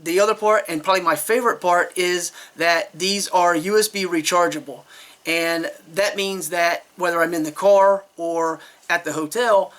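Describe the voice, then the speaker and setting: A man's voice: high voice, steady tone, moderate pace, a bit bright. A man giving a casual, unscripted product review in a chatty, rambling, candid way.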